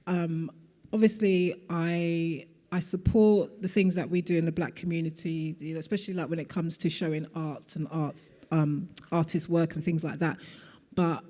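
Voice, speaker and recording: A woman's voice: lowish voice, warm tone. A woman artist answering a question at a live panel talk, earnest and full of "like" fillers. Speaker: guest artist. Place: event hall. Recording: long reverb of a hall.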